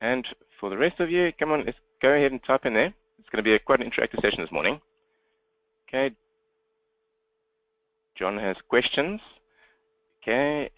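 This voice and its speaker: A man with a South African accent presenting an interactive webinar, talking fast in a direct way and engaging listeners with questions. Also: mid-range voice, animated, a bit bright, dry recording, dull audio. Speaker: webinar presenter and coach for accountants. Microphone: computer webinar microphone, presenter standing.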